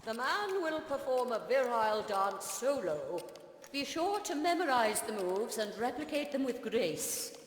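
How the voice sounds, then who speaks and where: An actress playing a strict, haughty etiquette and dance tutor in an animated-style game, prim, formal and commanding. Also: female voice, on the high side, measured pace, slight background noise. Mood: formal, instructive. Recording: echoey hall, faint music behind.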